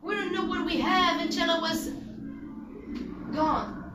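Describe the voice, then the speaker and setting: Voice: extremely high pitch, unhurried. A teenager performs a rehearsed spoken-word monologue in an earnest, declamatory manner. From Morocco. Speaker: student performer. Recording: echoey, distant mic, loud music behind. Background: loud backing music.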